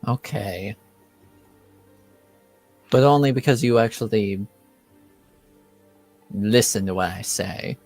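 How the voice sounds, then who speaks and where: A man's voice: on the low side, takes it slowly, long pauses, darkish tone, faint music behind. A man voicing a mischievous, neglected noble youngster with a dry, terse, defiant manner in a tabletop game. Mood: sulky.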